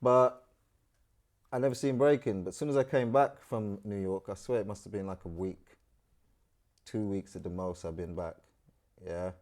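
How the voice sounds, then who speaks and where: Trinidad-born guy, slangy storyteller, lowish voice. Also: normal speed, long pauses. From Trinidad and Tobago. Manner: animated storyteller, London street slang. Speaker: guest. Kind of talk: casual storytelling interview.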